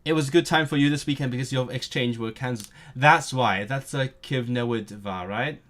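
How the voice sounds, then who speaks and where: A male streamer whose first language is Cantonese talks casually to his viewers. His voice sits at an ordinary middle pitch. He sounds eager and nerdy, and he often trips over words and starts them again. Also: a little fast, a bit bright. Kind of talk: casual live-stream chat.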